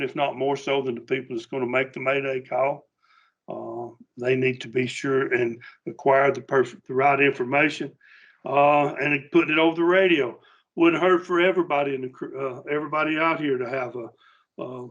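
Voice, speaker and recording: A middle-aged man giving an informal workplace safety training talk in a plainspoken, halting, folksy manner. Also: medium pitch, a bit bright, highs cut off.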